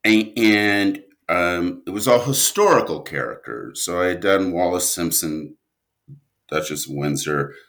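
A man speaking as an interview guest, a writer and director who answers conversationally in halting, deliberate, emphatic phrases. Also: low-pitched, fairly bright tone.

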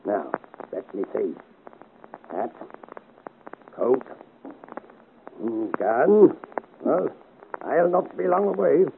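A man's voice: medium pitch, vocal fry, exaggerated voice. A man acting a cool, scornful, murderous innkeeper in a theatrical 1950s radio serial style. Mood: calculating, smug. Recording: noisy narrow-band 1950s radio recording.

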